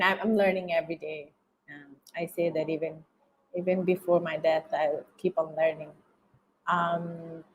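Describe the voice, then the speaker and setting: A woman from Bangladesh with a Bangladeshi accent, giving reflective interview answers as a guest, halting and often repeating phrases as she searches for words. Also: lowish voice, rough recording. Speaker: interview guest, documentary filmmaker.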